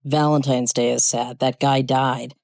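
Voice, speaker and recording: A shy, awkward man who works as a technician, acted by a story narrator. His short-story dialogue is mellow, at a middling pitch. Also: level tone, unhurried, creaky, dry recording, clean studio sound. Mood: glum.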